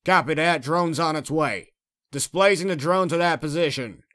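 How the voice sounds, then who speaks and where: A man voicing a gung-ho military vehicle crewman for game unit lines, in a clipped, brisk delivery. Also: fairly high pitch, a bit bright, lightly acted. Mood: crisp, dutiful.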